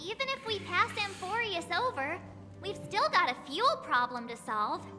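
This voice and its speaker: Chirpy young crew girl, very high pitch, bright tone, reverb-washed game audio. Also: sing-song, normal speed, soft background music, full character voice. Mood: matter-of-fact.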